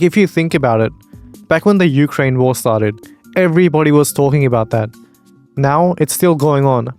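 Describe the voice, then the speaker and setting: A man's voice: medium pitch, a little mellow. A young man giving casual reaction commentary, blunt and opinionated, swearing freely. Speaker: reaction video host.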